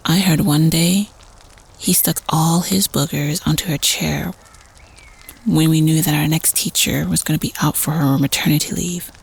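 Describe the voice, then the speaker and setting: A woman playing a teenage prep-school student telling a spooky campfire story casually in an audio roleplay, conspiratorial and at times hushed. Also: low voice, steady tone, normal speed, creaky, breathy edge, exaggerated voice. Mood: chatty, teasing.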